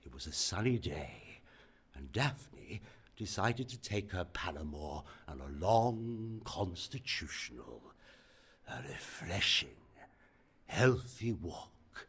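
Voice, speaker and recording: A man playing a slow, low-voiced genteel horror host. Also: very animated, fairly bright tone, slightly rough, full character voice. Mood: relishing, ominous. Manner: theatrical, florid, savouring words with long pauses. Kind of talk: spooky radio play narration.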